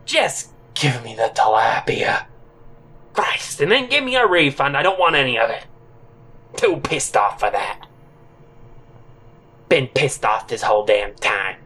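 A man's voice: mid-range voice, big pitch swings, measured pace, long pauses, sharp tone, slightly rough, heavily acted. Man acting an irritable, pompous, sardonic guy. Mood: fed up. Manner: sardonic, long-winded, emphatic. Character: irritable, pompous, world-weary male fired worker. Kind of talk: first-person audio drama narration and dialogue.

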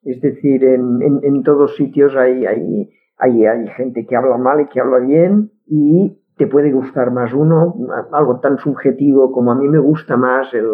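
A woman's voice: very deep voice, measured pace, few pauses. A woman with a Catalan accent, Catalan being her first language, speaking as a guest in a casual conversation, firm and opinionated, often restarting words. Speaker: guest linguist. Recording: tinny phone-like line.

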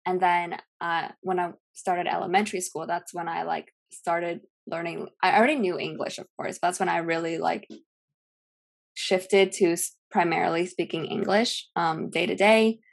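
A woman's voice: on the low side, normal speed, gravelly. A young woman from California, a Cantonese speaker, chatting casually as a podcast guest with lots of fillers. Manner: relaxed, rambling with many fillers. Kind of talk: casual chat.

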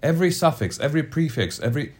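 A man's voice: fairly high pitch, big pitch swings, racing. A man co-hosting a podcast with blunt, emphatic and scornful casual banter. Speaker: podcast co-host.

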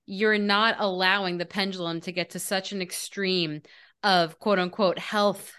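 A woman co-hosting a casual podcast. She explains her ideas eagerly and with emphatic energy. Her pitch sits in a typical middle range. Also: steady tone, measured pace, a bit bright, clean tone. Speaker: podcast co-host. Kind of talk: casual spiritual chat.

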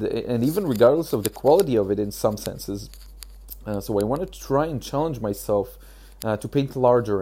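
A man with a hoarse, achy throat, hosting a casual solo podcast, talking haltingly with many fillers. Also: medium pitch, mellow. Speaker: podcast host.

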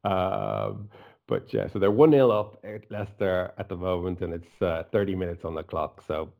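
A man's voice: deep voice, moderate pace, creaky, narrow, tinny audio. A man with a Dublin Irish accent, co-hosting a casual football chat and laughing often. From Ireland. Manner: laughs often, animated and chatty. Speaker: podcast co-host.

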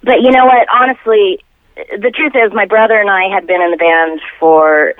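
A woman's voice: on the low side, no echo. A middle-aged woman chatting casually and candidly as an interview guest over the telephone, with good humour. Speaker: interview guest, band singer. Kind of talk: casual chat. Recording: tinny, dry phone line.

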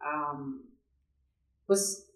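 A woman's voice: deep voice, drawn-out words. A woman from Brazil with a Brazilian accent, answering interview questions conversationally, often repeating words as she searches for phrasing. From São Paulo, Brazil. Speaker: podcast guest, agribusiness professional. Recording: roomy, echoey sound.

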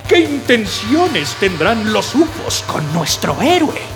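High-pitched, animated male radio announcer. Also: slow, over music. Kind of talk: scripted radio serial outro.

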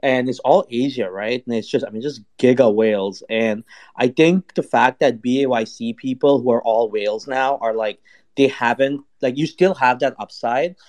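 Male panelist, mid-pitched voice, loose slangy chat with plenty of swearing. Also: moderate pace, a little mellow. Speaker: panelist on a crypto talk show.

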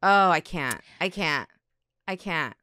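Woman, born in Korea, podcast co-host, animated storyteller, smooth voice, dry close sound. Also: sits low, slow, no echo. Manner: animated storyteller, acts out quotes. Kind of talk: casual chat.

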